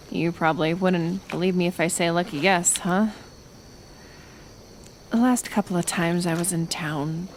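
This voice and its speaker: Woman acting a slow-spoken, gentle healer woman. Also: on the low side, slight background noise, poor audio. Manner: gentle, soothing, hushed and halting, often slipping into whispers. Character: kind, stubbornly determined woman healer in a secluded cottage. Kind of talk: intimate ASMR roleplay acting.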